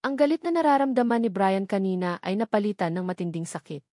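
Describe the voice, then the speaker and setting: A woman's voice: medium pitch, quick pace, darkish tone, no room sound. Woman storyteller, dry close sound. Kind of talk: scripted dramatic narration of a romance story.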